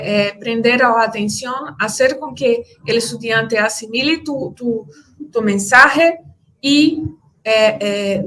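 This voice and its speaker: A woman's voice: fairly high pitch, a bit bright, rough recording. Brazilian woman speaking careful Spanish. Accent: Brazilian Portuguese. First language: Portuguese. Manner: hesitant, many fillers and restarts. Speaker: conference speaker. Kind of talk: answering audience questions.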